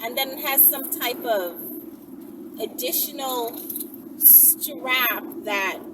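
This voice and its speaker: Very loud vehicle noise surrounds a woman speaking at some distance from the microphone. Her voice is fairly high, and she talks like a casual video host, informal and rambling. She thinks aloud and often poses questions as she goes. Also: slow pace. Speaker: video host answering viewer requests. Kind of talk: casual rambling chat to camera.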